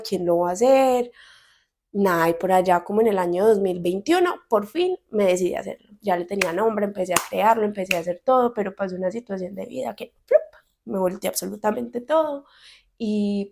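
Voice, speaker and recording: A woman's voice: mid-range voice, gravelly, mellow. A woman in her thirties from Colombia with a Medellín accent, hosting a casual solo podcast in a chatty, candid way with slang. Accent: Medellín Colombian Spanish. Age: 30s. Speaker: podcast host.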